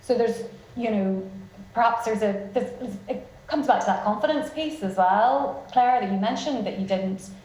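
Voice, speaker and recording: A woman moderating a panel, asking long, halting questions full of fillers. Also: mid-range voice, mellow. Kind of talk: panel discussion. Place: event room with audience. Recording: roomy hall.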